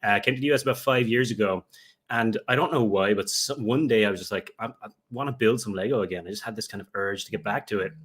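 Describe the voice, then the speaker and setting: A young man with an Irish accent talks through his answers in a lowish voice. He rambles and circles back, filling gaps with "you know" and "um" as he restarts his sentences. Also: fast talker, fairly bright tone, husky. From Ireland. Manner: rambling, many fillers and restarts. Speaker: guest, Lego investor. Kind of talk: remote interview answers.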